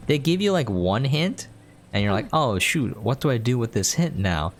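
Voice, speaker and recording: A man's voice: mid-range voice, big pitch swings, normal speed. A man giving casual, conversational commentary while playing a game, in an informal way. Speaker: game reviewer and player, host.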